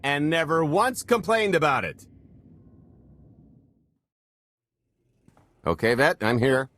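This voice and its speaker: A man's voice: a little high, very animated, normal speed, fairly bright tone. A male actor playing a roguish, wisecracking smuggler in a video game, with a wry and cocky delivery. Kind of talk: video game cutscene acting.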